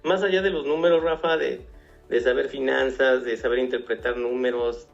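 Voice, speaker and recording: A middle-aged man, a guest giving measured interview answers and often repeating words. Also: mid-range voice, fairly even intonation, vocal fry. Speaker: guest, finance executive. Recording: slightly dull radio audio, faint music.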